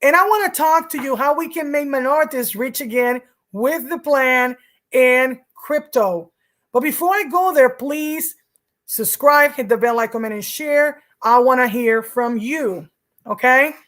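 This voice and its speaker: A woman's voice: sits high, a little slow, a bit bright. A woman from Rico, hosting her own vlog and talking earnestly to camera, repeating words for emphasis. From Puerto Rico. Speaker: vlog host. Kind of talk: casual talk to camera.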